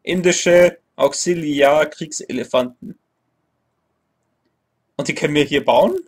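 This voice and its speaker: A man's voice: fairly high pitch, slow pace. A young man in his twenties casually commenting on a strategy game as he plays, thinking aloud, grumbling and correcting himself. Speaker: let's play gamer. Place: small room indoors at a computer.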